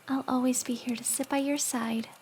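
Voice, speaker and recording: A woman playing a sweet, excitable teenage girl with a crush in a fan audio drama, expressive and earnest. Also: high-pitched, slight background noise.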